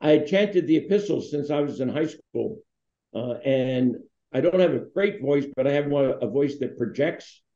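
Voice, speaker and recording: A man's voice: mid-range voice, expressive, normal speed, warm tone. Older man telling stories, roomy dull sound. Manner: rambling, anecdotal, with many fillers. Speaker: foundation founder, interviewee. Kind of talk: relaxed storytelling. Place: home room with wall hanging. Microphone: video call webcam. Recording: roomy, slightly dull webcam audio.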